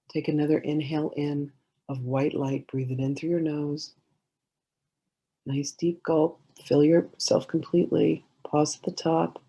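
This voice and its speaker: A woman leading a guided meditation in a gentle, soothing way, giving slow instructions with many pauses. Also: low-pitched, husky.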